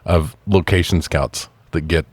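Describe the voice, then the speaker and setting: Male co-host, notably deep voice, enthusiastic and foul-mouthed, relaxed conversational film talk. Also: measured pace, no room sound. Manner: profane, enthusiastic, slangy. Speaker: podcast co-host. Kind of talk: casual film chat.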